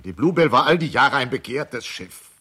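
An actor playing a defensive yacht owner testifying in a radio play, emphatic and matter-of-fact. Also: male voice, medium pitch, animated, normal speed, sharp tone, husky. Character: defensive yacht owner giving testimony about his ship.

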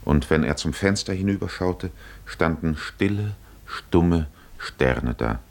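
Slow, very low-voiced solemn male narrator. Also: lively intonation. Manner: solemn, measured. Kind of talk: scripted literary narration, 1950s radio drama.

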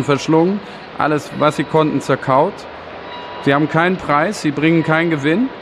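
A man's voice: a little high, natural voice. An actor playing an exhausted, embittered father, declaiming sternly in a radio drama. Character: exhausted, embittered father in a debt-ridden household. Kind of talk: stylised radio drama monologue. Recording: clear background noise.

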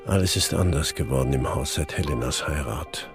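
An actor in a radio drama playing a despairing husband, speaking in a gloomy, introspective monologue. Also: male voice, extremely low pitch, normal speed, faint music behind. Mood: bleak, resigned. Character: brooding, despairing husband of a sick woman. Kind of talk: literary radio drama acting.

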